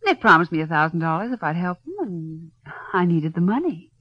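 Lively, flirtatious gangster's wife. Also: female voice, on the low side, a little fast, no echo. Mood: candid. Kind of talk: old-time radio crime drama acting. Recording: dull old radio recording.

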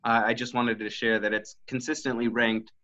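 A man hosting an interview, asking prepared, deliberate questions. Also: mid-range voice, flat delivery, bright, forceful tone. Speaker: podcast host. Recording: dull lo-fi sound.

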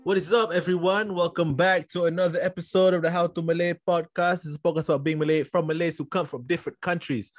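A man's voice: a little high, fairly even intonation, fast, hardly any pauses, faint music behind. A man from Singapore hosting a casual podcast, telling rambling stories with lots of fillers. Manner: chatty, rambling storytelling with many fillers. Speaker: podcast host. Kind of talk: casual chat.

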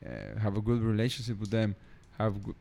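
Low-voiced man chatting casually. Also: big pitch swings, a little fast, gravelly, mellow. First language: not English. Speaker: podcast participant. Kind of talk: casual conversation among friends.

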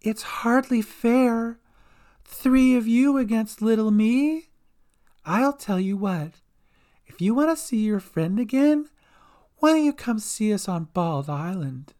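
A man's voice: sits high, animated, takes it slowly, dark tone, pitched above the performer's own voice. A man voicing a monstrous one-eyed female water hag in a storybook, speaking with a mock-sweet, taunting menace. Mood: mocking, menacing. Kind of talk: storybook character voice.

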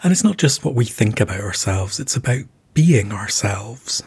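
Male podcast host, calm measured narration, husky low voice.

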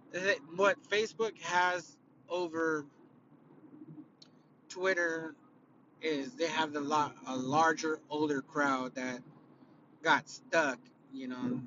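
A man from California with a raspy, tired voice hosting a casual solo podcast, rambling and swearing, full of fillers and restarts. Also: high voice, pushed and bright, highs cut off, slight background noise. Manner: rambling, profane, opinionated, with many fillers and restarts. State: raspy, worn-out voice after concerts. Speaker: podcast host.

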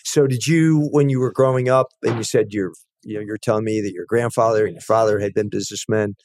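A man with a fairly low voice hosts a podcast interview. He phrases questions casually, with some hesitation, in a dry, close recording. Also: steady tone, brisk, darkish tone, dry recording.

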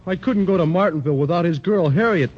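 A lovesick, dim young athlete who plods through his words in a husky, fairly high voice. The recording is dull and lo-fi, like old radio. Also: quick pace. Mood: mopey. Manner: mopey, plodding. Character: lovesick, slow-witted young male athlete. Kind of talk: 1940s radio sitcom acting. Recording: lo-fi vintage radio broadcast.

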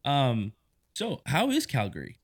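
A man's voice: medium pitch, wildly swooping pitch, a little slow. A man from California hosting a casual chat, easygoing and talkative, stumbling over restarts now and then. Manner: easygoing, chatty, stammers on restarts. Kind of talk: casual conversation.

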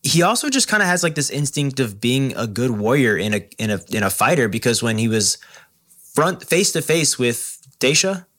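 Woman co-host with a lively, swooping pitch. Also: moderate pace. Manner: enthusiastic, rambling, laughs easily. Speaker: podcast co-host. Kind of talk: casual chat.

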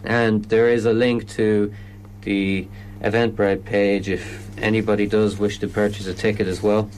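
A man's voice: lowish voice, flat delivery, measured pace, darkish tone, slight background noise, rough recording. An Irish man explaining his comedy event in a radio interview, often stopping and restarting sentences. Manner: hesitant, lots of restarts.

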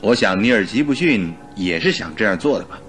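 An actor playing a detective's inquisitive companion in a radio drama, reasoning aloud in a conversational way. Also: male voice, lowish voice, animated, fast talker, fairly bright tone, faint music behind. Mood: pondering.

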